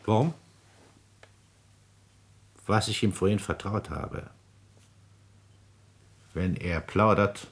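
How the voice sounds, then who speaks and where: A man's voice: low voice, extremely slow, pauses often, slightly rough, heavily acted. An actor playing a scheming, domineering aristocratic father and minister in a classical radio drama, with imperious, calculating, declamatory delivery. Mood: stern, commanding.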